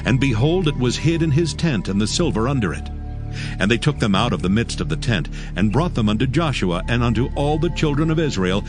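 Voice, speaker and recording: A man's voice: mid-range voice, very animated, fairly quick. A man narrating a dramatized Bible reading in a formal, solemn manner. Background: loud background music.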